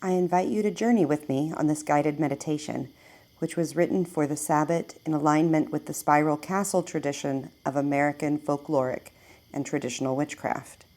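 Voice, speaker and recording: Female narrator for guided meditation: lowish pitch, creaky texture, measured and hushed scripted delivery. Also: steady tone.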